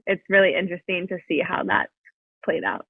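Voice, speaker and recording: A woman's voice: lowish voice, steady tone, fairly quick, gravelly, slightly rough, dry recording. A young woman talking as a podcast guest in a chatty way, full of "like" fillers, over a video call. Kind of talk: casual interview chat. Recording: dry, phone-like call audio.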